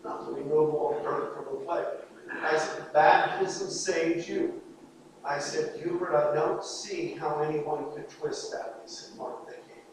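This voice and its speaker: High-voiced man preaching in an echoing hall. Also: steady tone, unhurried, a little hiss or hum. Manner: earnest, pleading, emphatic repetition. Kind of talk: sermon. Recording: echoey hall, voice from far off.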